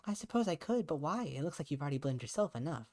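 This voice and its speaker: A man's voice: on the high side, big pitch swings, fast, darkish tone, pitched above the performer's own voice. A man voicing an earnest young woman in a visual novel, blunt but caring, questioning frankly and insistently. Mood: frank, understanding.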